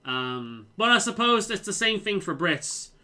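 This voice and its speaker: A man with a light Liverpool accent chats casually as he plays, his voice bright and fairly high. His pitch swings freely from phrase to phrase. He keeps repeating words and restarting his sentences. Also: sing-song, unhurried. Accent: mild Liverpool. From England. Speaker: game streamer. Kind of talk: casual chat while playing.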